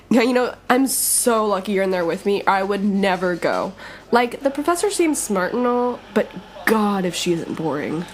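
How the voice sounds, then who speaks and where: A woman's voice: mid-range voice, sing-song, moderate pace, a little hiss or hum, lightly acted. A young woman playing a brash, sarcastic college-age thief in an audio drama, speaking in breezy, slangy banter. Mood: breezy, joking. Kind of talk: audio drama dialogue, casual and conversational.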